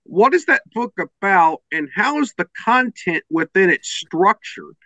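A man's voice: high-pitched, big pitch swings, a little slow, pushed and bright, dry recording. A man hosting a podcast and reading out prepared interview questions, on a lo-fi recording. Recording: dull lo-fi microphone sound.

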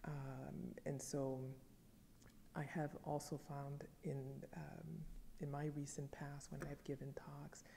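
Haitian-born woman professor, French first language, 40s, measured reflective delivery, mellow gravelly voice, faint room hum. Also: sits low, flat delivery, long reverb tail. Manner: thoughtful, measured storytelling. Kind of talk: reflective interview answer to camera. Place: dim wood-paneled library. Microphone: fixed camera, seated at medium range.

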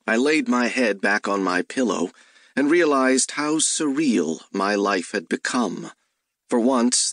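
A man narrating a first-person story as an audiobook, in a measured, wry way. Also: on the low side, a bit bright, no room sound, clean studio sound.

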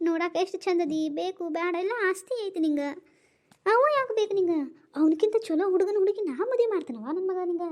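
Woman doing a worried middle-aged mom, north karnataka kannada accent, very high voice, rattles off fast. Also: expressive, darkish tone. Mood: coaxing. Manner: coaxing, defensive, rapid scolding. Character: fretful mother, anxious and defensive. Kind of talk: family drama skit.

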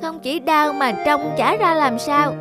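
Whiny little cartoon girl, very high. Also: measured pace, music in the background. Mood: sulky. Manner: whining, childish. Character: whiny stubborn little girl afraid of the dentist. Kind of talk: cartoon voice acting.

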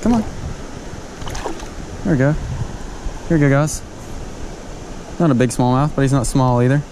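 Excitable man fishing by a river. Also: mid-range voice, moderate pace, low-quality recording. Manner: excitable, exclaims loudly at big catches. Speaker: fishing vlogger. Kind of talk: casual outdoor vlog. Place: outdoors on a riverbank. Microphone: chest-mounted action camera. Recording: river noise behind.

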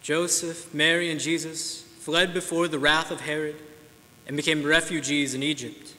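A man reads prayers in a steady, formal cadence, his voice a little high and bright. It carries through a large church with a long reverb, and a faint hiss sits underneath. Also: normal speed. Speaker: lector. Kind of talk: reading prayers of the faithful.

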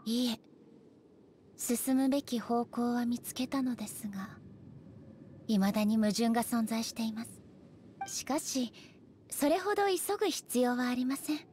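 A high, formal woman's voice for a dignified goddess ruler in an anime game, acted by a voice actress. She is composed, aloof and literal-minded, choosing polite, measured words. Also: darkish tone, slight background noise, natural voice.

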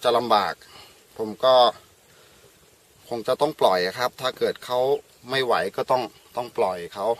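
A man's voice: mid-range voice, moderate pace. Thai man chatting from a fishing boat. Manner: excited exclamations while fighting fish, calm explaining after. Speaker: fishing vlogger. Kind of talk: casual fishing commentary. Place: small boat on open marsh, outdoors. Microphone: action camera on boat. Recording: background music.

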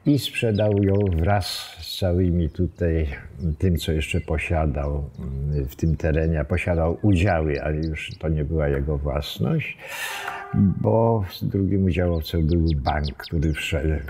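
A man, telling local history informally, haltingly and with wry asides. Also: extremely low pitch, mellow, breathy edge. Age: 70s. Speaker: villa owner telling its history. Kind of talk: informal storytelling to camera, reminiscing. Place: open wooden veranda outdoors among trees. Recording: outdoor background noise, birdsong, small clicks.

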